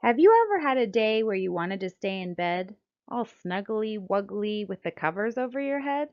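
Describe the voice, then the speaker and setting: A middle-aged woman with an American accent reads aloud like a children's author presenting a book. Her pitch sits in the middle range and her delivery is deliberate and expressive. The recording is a bit dull and lo-fi. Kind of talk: scripted read-aloud storytelling and narration. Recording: dull, lo-fi sound.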